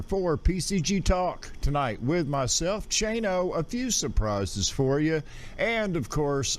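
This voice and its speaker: A man hosting an online radio show, chatting casually to his listeners. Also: on the high side. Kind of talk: casual livestream sign-off chat. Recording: faint background noise.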